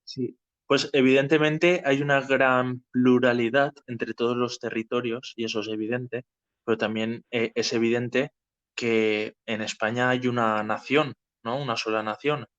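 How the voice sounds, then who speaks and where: A man's voice: mid-range voice, little pitch movement, a little slow, a bit bright, no echo. A man with an accent from Spain, hosting an informal political discussion and explaining things conversationally. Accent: Spanish from Spain. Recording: dull, dry lo-fi voice chat.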